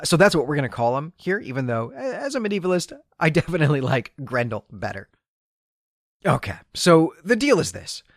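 Animated male podcast host, dry close sound. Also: medium pitch, no room sound. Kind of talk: casual explanatory book recap.

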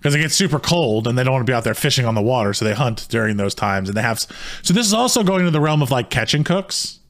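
A man co-hosting a casual podcast, his voice sitting at an ordinary middle pitch with a slight hoarseness. He talks in a heated, rambling way, often stopping and starting his sentences over. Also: lively intonation, fast. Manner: heated, rambling talk with many restarts. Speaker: podcast co-host.

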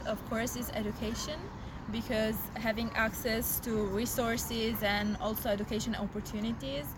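Albanian woman, outdoors with traffic. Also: on the high side. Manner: hesitant, with fillers. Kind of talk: interview answers.

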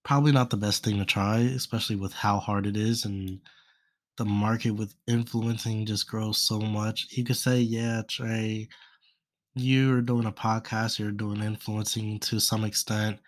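A young man with a stuffy, congested nose talks through a solo podcast in a loose, rambling way, trailing off into fillers. His voice sits low and barely moves in pitch. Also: level tone, unhurried. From United States. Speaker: podcast host. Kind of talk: casual solo podcast talk.

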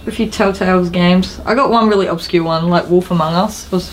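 A woman's voice: fairly low pitch, a little fast, slight background noise, low-quality recording. A woman in casual conversation as a podcast co-host. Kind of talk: casual chat.

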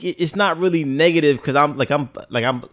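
A man's voice: a little high, fairly quick, fairly bright tone, no echo. A man co-hosting a podcast, talking in a slangy, reflective way with lots of hesitant restarts. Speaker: podcast co-host. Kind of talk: casual podcast chat.

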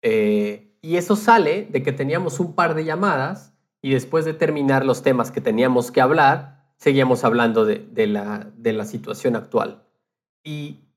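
A man podcaster chatting casually and explaining things conversationally, checking in with his listener as he goes. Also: medium pitch.

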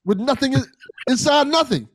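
A man's voice: very high voice, lively intonation, moderate pace, husky, no echo. An American man hosting a podcast in a casual, conversational style with a jokey, informal manner. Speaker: podcast host.

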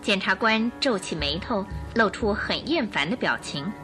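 Animated woman narrating, music behind. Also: mid-range voice, normal speed. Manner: expressive storytelling. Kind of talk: scripted radio drama narration.

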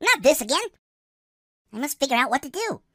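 An anxious, exasperated droid adventurer voiced by a woman for a sci-fi comedy radio play. The voice is high, bright and very lively, wordy and fretful, recorded close and dry. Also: a little fast, no echo, full character voice. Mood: distressed.

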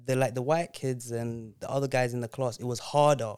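A man's voice: medium pitch, fairly even intonation, fairly quick, darkish tone, clean tone. A man from Zambia, chatting casually with slangy, laid-back speech and frequent laughter. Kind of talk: casual chat.

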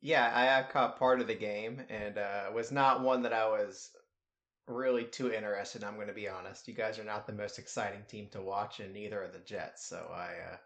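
A man's voice: on the low side, steady tone, normal speed, a bit bright. Easygoing young man teasing his co-host. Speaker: podcast co-host. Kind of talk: casual sports chat.

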